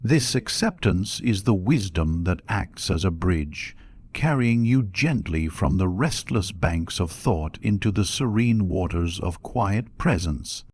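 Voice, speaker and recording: Male meditation guide, deep register, unhurried and soothing scripted delivery for sleep narration. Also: darkish tone, husky, dry recording, clean studio sound. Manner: measured, instructional, soothing delivery.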